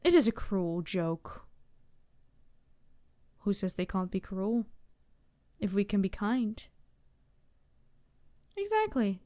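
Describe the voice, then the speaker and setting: A regal demon princess, acted out by a woman in an ASMR roleplay, with a highish voice. She addresses the listener in measured, slightly stilted formal phrases. Her temper is empathetic, with a teasing, flirtatious streak. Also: warm tone.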